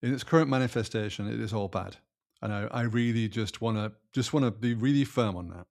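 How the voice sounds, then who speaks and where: A man's voice: lowish voice, a little fast, darkish tone. A man co-hosting a podcast, stating his view emphatically and firmly, with restarts and asides. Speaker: podcast co-host. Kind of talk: casual podcast conversation.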